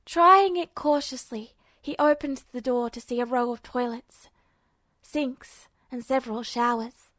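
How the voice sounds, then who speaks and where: A woman's voice: fairly high pitch, unhurried, fairly bright tone, dry recording. A woman reading scripted fiction as a narrator.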